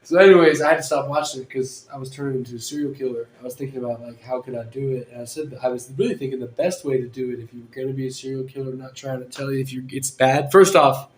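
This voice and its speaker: A man hosting a podcast in casual chat, rambling and joking with bursts of loud laughter. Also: medium pitch, fairly even intonation, quick pace, hardly any pauses. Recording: roomy room with faint ambience.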